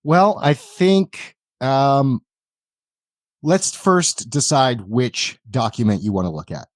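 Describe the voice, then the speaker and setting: A man improvising narration as a tabletop game master in a halting, deliberate, measured manner. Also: medium pitch, dry recording, studio quality.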